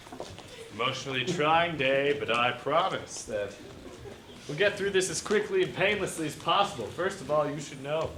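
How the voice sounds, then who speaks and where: Man playing an officious, bright-voiced prosecutor. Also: mid-range voice, far from the mic. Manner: officious, courteous. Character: earnest male fairytale assistant district attorney. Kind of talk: comic stage-play acting. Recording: echoey room, faint noise.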